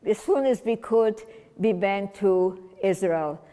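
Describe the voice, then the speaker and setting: A woman's voice: medium pitch, slow pace, warm tone, clear, resonant tone. Elderly woman, German native, recounting testimony. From Romania. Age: 80s. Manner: conversational and meandering, with frequent restarts and wry humor. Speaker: Holocaust survivor speaking at a remembrance event. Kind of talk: personal testimony talk to an audience. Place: community center hall. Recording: long hall reverb.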